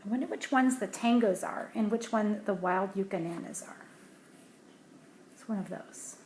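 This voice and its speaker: A woman reading a picture book aloud to children, dropping to hushed asides about the pictures between livelier read lines. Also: medium pitch, moderate pace. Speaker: storybook reader. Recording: roomy echo, faint background hiss.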